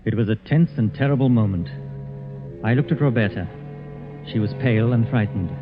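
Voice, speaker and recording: An actor playing a dinner guest who narrates a suspense tale in tense, dramatic 1940s radio style. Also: male voice, fairly low pitch, a little fast, over music, close to an everyday voice. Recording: dull old radio recording.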